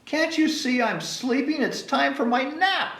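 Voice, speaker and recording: Man voicing a shrill, chirpy baby songbird. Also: measured pace, fairly bright tone, full character voice. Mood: indignant. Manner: sing-song, repetitive, shrill. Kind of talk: children's picture book read-aloud. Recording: echoey room.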